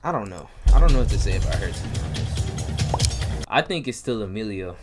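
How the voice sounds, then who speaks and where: A man's voice: lowish voice, animated, moderate pace, loud music behind the voice. A man casually reacting to a video, talking slangy and laid-back to his viewers. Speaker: reaction streamer.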